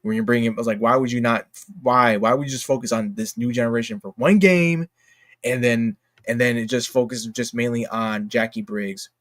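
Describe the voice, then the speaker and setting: A man talks as a livestream host in a casual, slangy way, voicing opinions with emphasis. His voice sits at a medium pitch, recorded dry with little room sound. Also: a little fast, fairly bright tone, no echo. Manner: opinionated, informal, emphatic, slangy "dude" and "bro". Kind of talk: casual livestream chat about games.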